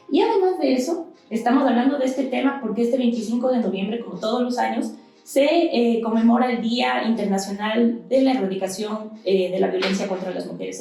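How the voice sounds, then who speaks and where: A woman hosting a podcast, introducing guests and explaining the topic in a measured way. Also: mid-range voice, dark tone. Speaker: podcast host. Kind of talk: podcast moderating. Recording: echoey room, distant mic, faint music.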